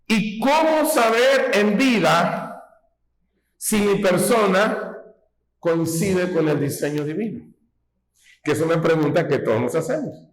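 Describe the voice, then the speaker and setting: Slow preacher in an echoey hall. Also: male voice, high-pitched, expressive. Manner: folksy, asks rhetorical questions, teaching tone. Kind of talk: sermon.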